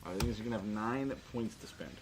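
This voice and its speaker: A man's voice: lowish voice, quick pace, music in the background. A man narrating a tabletop role-playing game as game master in a conversational way.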